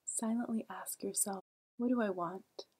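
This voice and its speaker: A woman leading a guided meditation in a calm, gentle, soothing way, with a soft, breathy voice and long pauses. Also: mid-range voice.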